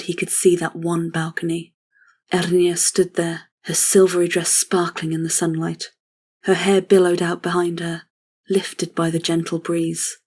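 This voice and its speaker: A woman narrates a dramatic tale in a lowish voice. Her tone is husky and breathy, and she keeps an even, measured line through the scripted prose.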